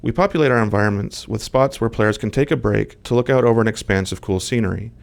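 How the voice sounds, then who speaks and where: Male developer narrator, scripted, creaky lowish voice. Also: fast. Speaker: game developer giving commentary.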